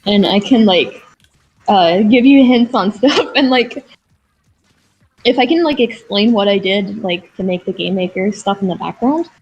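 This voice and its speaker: A woman's voice: medium pitch, animated, a little mellow, soft background music. Young-sounding woman with a lisp. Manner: chatty and quick, with many likes. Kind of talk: casual stream chat. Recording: dull lo-fi mic with faint noise.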